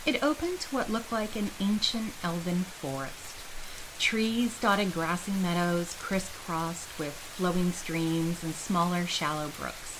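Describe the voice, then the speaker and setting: A woman's voice: lowish voice, slow, background noise. A woman reading scripted fantasy narration as a storyteller.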